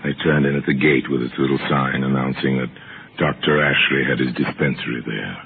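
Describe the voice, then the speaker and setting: A man narrating an old-time radio mystery as host, measured and storytelling in his delivery. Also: very deep voice, fairly even intonation, vocal fry, slight background noise. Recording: tinny old radio broadcast.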